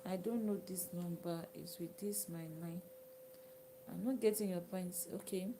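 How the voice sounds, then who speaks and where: A Nigerian woman whose native language is Yoruba, chatting casually with viewers on a live stream, sometimes dropping to a soft whisper. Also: lowish voice, fairly even intonation, a little slow, noisy background. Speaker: livestream host. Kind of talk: casual live chat reading comments.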